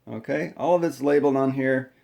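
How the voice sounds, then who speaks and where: A man presenting an unscripted hands-on how-to, talking in a halting, step-by-step way. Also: medium pitch, steady tone, gravelly, a little mellow, roomy sound. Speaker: tutorial presenter.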